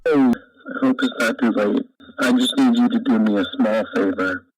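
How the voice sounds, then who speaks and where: A man's voice: mid-range voice, moderate pace, background noise, natural voice. A man voicing a sinister intruder being in an analog horror piece, coaxing and menacing. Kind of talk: scripted analog horror acting.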